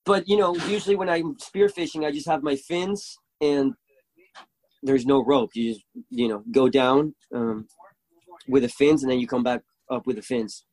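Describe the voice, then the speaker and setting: A man with a Venezuelan accent, a native Spanish speaker, chatting casually as a guest and telling stories excitedly, swearing freely. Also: mid-range voice, moderate pace, no room sound, low-quality recording. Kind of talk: casual chat.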